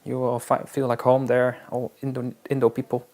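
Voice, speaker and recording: A man, a wilderness guide, talking in a casual interview in a halting, reflective way with frequent restarts. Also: medium pitch, monotone, darkish tone. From Netherlands.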